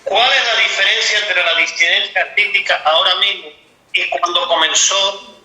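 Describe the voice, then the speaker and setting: A man from the United States hosting an interview in Spanish, speaking in a halting way and repeating words. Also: high-pitched, bright, forceful tone, reverberant, poor audio. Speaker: interviewer, host. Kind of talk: interview questions.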